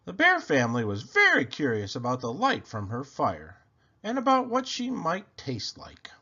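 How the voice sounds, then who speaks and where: A man reading a children's picture book aloud expressively, with theatrical, deliberate phrasing, on slightly dull, lo-fi audio. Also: fairly high pitch, huge pitch swings, pushed and bright.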